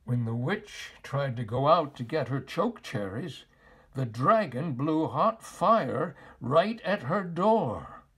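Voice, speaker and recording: An older man narrates a children's read-aloud in a theatrical storyteller style. His husky voice moves slowly and deliberately, with big expressive swings in pitch.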